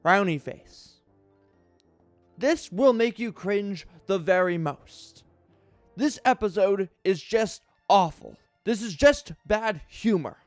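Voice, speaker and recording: A man giving a mock-dramatic reading as an aggrieved, earnest cartoon fan reviewing episodes, halting and heavily emphasising words. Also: sits high, expressive, no room sound, faint music behind. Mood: indignant. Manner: melodramatic, halting, heavy emphasis.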